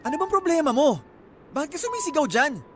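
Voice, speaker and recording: An actor playing a pushy, sweet-talking young Filipino college boyfriend in a radio drama, coaxing and insistent. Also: male voice, very high-pitched, big pitch swings, quick pace, heavy background noise. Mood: sulky, annoyed. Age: young adult. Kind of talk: Tagalog radio drama acting.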